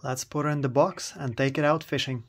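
A man's voice: mid-range voice, fairly even intonation, fairly quick. Man narrating a lure-making tutorial. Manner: upbeat, talks to viewers outdoors. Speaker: fishing channel host. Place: canal towpath outdoors.

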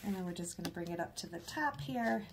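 A woman with a hoarse, froggy voice narrates a relaxed DIY project from her living room. She is chatty and quick to poke fun at herself. Her tone has a gravelly edge, and a faint hiss sits under the recording. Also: on the low side. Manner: chatty, self-deprecating, giggly. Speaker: craft vlogger. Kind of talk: casual DIY tutorial narration. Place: living room, working at a coffee table.

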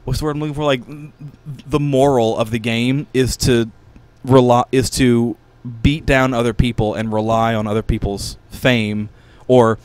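A man of medium pitch talks casually on a podcast, close to a studio microphone. He speaks haltingly and often searches for the right word. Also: fairly even intonation, darkish tone, smooth, no room sound. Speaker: podcast co-host. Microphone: podcast microphone in a small studio.

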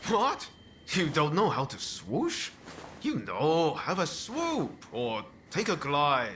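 An actor playing a cheeky, excitable samurai comrade in a game cutscene, playful and eager. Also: male voice, fairly high pitch, slow pace, slight background noise, full character voice. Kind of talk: animated game cutscene acting.

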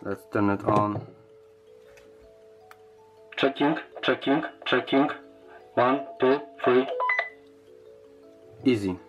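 A man giving a casual unboxing and product review in a halting, hesitant way, often repeating words. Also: mid-range voice, muffled recording, loud music behind the voice.

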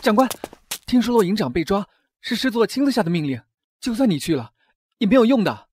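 Man acting an anxious high-pitched young soldier. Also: expressive, a little fast, a little mellow, breathy edge, dry recording, studio quality. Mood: anxious, pleading. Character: anxious, deferential young male army subordinate. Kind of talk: Chinese audio drama acting.

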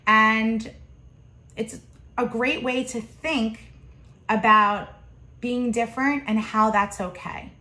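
A woman's voice: a little high, steady tone, slow pace, long pauses, bright, forceful tone. A woman in her thirties reading a picture book aloud to young children, expressively, with simple explanatory asides. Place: home kitchen, indoors. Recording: roomy, echoey home kitchen.

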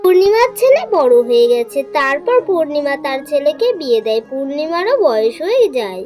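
A woman's voice: high voice, sing-song, brisk, over loud music. A woman narrating a scripted cartoon folk story as its storyteller.

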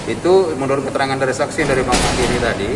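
A middle-aged man, a prosecutor, halting and restarting as he patiently explains to reporters in a courthouse. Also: medium pitch, steady tone, distant mic. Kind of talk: press doorstep interview. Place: indoor courtroom hallway. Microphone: reporters' phones held close. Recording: echoey room, loud background noise.

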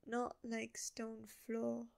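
A woman voicing a sleepy, friendly zombie girl who talks in slow, broken, simple words for an ASMR roleplay. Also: fairly high pitch, completely flat delivery, vocal fry, a little mellow, exaggerated voice. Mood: drowsy.

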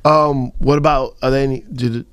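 A man's voice: medium pitch, fairly even intonation, moderate pace, a bit bright, dry recording. A man in his thirties hosting a radio interview, teasing his guest with playful questions. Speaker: radio host. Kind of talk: casual radio interview chat. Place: radio studio. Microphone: studio mic on a boom arm.

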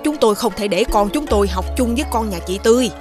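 A haughty village matron from a cartoon fairy tale, played by a woman. Her highish voice is imperious and accusing, with faint music underneath. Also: animated, fast talker, lightly acted. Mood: haughty, demanding. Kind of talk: animated folktale dubbing.

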